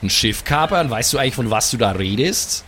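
A man's voice: mid-range voice, expressive, a little fast, pushed and bright, slight background noise. A man improvising his character in a tabletop role-playing game, talking in an enthusiastic, teasing way.

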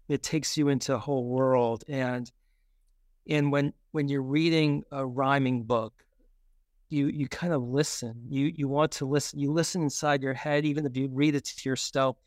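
A man with a clear, smooth voice at a middling pitch, recorded close and dry. He chats like an author being interviewed, often repeating a word or restarting as he works toward his point. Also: dry recording. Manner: halting, repeats words and restarts. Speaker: guest, children's book author. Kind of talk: conversational anecdotes in an interview.

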